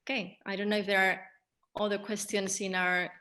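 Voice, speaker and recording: A woman with a Spanish accent and a bright, forward voice talks about stage work in a panel discussion. Her pitch stays fairly flat, and she pauses often to think aloud as she explains her view as a director. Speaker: theatre director. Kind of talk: post-show panel discussion.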